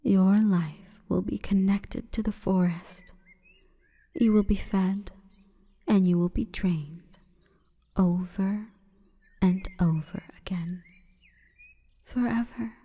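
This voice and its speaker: A woman voicing an imperious, calm forest goddess in a breathy, whispering ASMR roleplay, with stately, measured delivery. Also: mid-range voice. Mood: cold, commanding. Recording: long reverberant echo.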